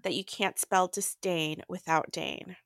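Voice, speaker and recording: A woman's voice: fairly low pitch, steady tone, unhurried, dry recording, clean studio sound. A woman co-hosting a book podcast, chatting casually and stating her opinions emphatically. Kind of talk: casual chat.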